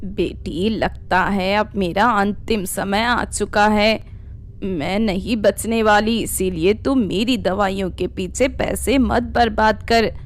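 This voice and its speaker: A woman's voice: mid-range voice, takes it slowly, natural voice. A woman voicing a sick, weary, self-sacrificing mother in a cartoon story, feeble and resigned. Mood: weak, resigned.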